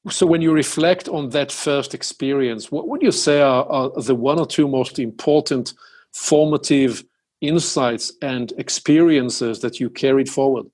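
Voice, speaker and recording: A male podcast host asks his guest deliberate, probing questions. His voice sits at an ordinary mid pitch. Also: a little mellow. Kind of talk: interview questions.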